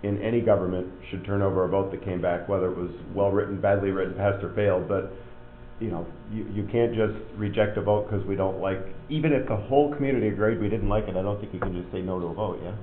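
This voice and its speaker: A man's voice: low-pitched, steady tone, fast. A man on a town board, chairing and replying informally in a plain-spoken, insistent way in a large room. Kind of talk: informal reply at a public meeting. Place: large meeting room, indoors. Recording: echoey room, dull sound, faint hum.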